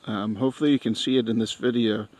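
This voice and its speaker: A man's voice: fairly low pitch, steady tone, fast talker, a little mellow, no room sound. A man hosting an informal hands-on product video, talking casually and a little crudely. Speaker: host of product unboxing videos. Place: small metal trailer, then outdoors in snowy yard.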